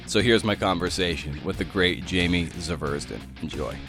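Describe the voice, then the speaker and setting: A man's voice: sits low, normal speed, over music. A man working as a podcast host, moving between scripted introduction and casual interview talk.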